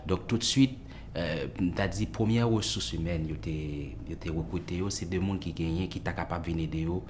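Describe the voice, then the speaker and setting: A man's voice: sits low, moderate pace, noisy background. A man with a Haitian accent speaking in a documentary interview. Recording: music bed behind voice.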